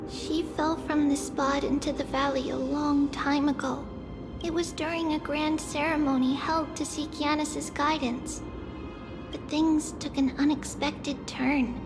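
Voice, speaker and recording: A woman voicing a solemn young seer in a video game, guiding visitors through memories in measured, formal, wistful speech. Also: sits high. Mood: calm, wistful. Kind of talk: video game voice acting, story narration. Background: game music.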